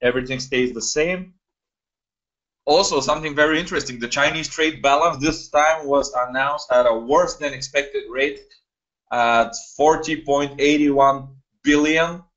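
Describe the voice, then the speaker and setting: A man's voice: fairly high pitch, unhurried, fairly bright tone. A young man from Bulgaria, hosting a live webinar in a chatty way with many fillers, recorded on a computer mic in a small room. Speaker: webinar host. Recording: dull lo-fi webinar audio.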